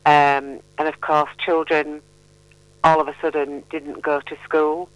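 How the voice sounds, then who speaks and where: A woman's voice: sits low, flat delivery, measured pace, no echo, low-quality recording. A middle-aged woman chatting as a charity guest in a radio interview, playful and encouraging. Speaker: mental health charity representative, radio guest.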